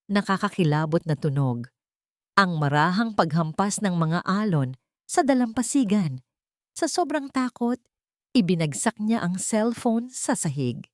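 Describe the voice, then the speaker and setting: Babaeng tagapagsalaysay na mababa ang boses. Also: female voice, on the low side, no room sound, studio quality. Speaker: narrator. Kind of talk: scripted dramatic story narration.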